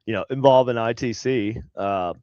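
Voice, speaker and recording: A man's voice: medium pitch, normal speed, darkish tone, no echo, dull audio. A man with a head cold and a nasal voice, co-hosting a paranormal talk show in casual chat, rambling with many fillers. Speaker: podcast co-host. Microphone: home desk setup on a video call.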